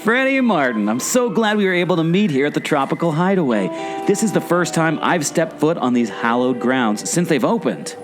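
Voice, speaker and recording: A man's voice: fairly high pitch, animated, moderate pace. A man in a scripted audio play, speaking as a skeptical, puzzled sidekick with a theatrical delivery. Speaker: podcast co-host, former theme park skipper. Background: guitar music.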